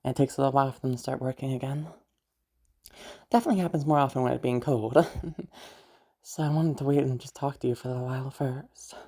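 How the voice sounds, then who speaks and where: A woman playing a kindly, teasing young stranger in an intimate audio roleplay, speaking casually and hesitantly with a husky, creaky voice. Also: very deep voice, quick pace, pauses often, warm tone. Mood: soothing, careful. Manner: hesitant, chuckling often, casual swearing. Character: kindly, teasing young female stranger comforting someone upset. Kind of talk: improvised-sounding intimate audio roleplay, one side of dialogue.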